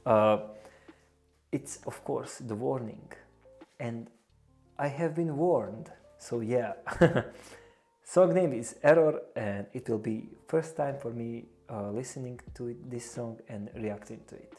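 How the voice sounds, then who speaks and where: A man's voice: medium pitch, slow, darkish tone, faint music behind. A young man with a Bosnian accent hosting a casual reaction video, speaking hesitantly with many fillers and restarts. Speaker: reaction channel host. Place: small indoor room with a lamp.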